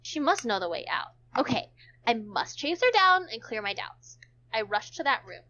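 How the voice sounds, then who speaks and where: Woman, high voice, amateur visual novel narration, occasional stumbles, dull lo-fi recording. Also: sing-song, normal speed, sharp tone. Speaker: narrator in a group read-along.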